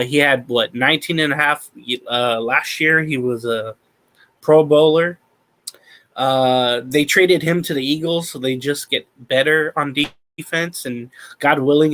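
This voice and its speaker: A man with a slightly high voice co-hosts a sports talk podcast. He walks through the news steadily and methodically, filling his pauses with ums and uhs. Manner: steady, methodical recap with many fillers. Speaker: podcast co-host.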